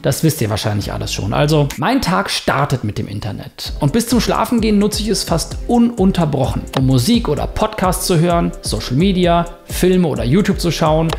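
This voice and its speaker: A man in his thirties, a YouTuber and screenwriter, narrating his own self-experiment in a lively, wry documentary style. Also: fairly high pitch, fairly quick, gravelly, faint music behind.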